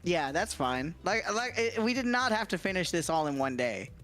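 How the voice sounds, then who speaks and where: A woman's voice: fairly low pitch, sing-song, moderate pace, a bit bright. A woman chatting casually as a guest on a livestream, easygoing and giggly, with music behind her. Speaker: co-streamer, guest voice actor.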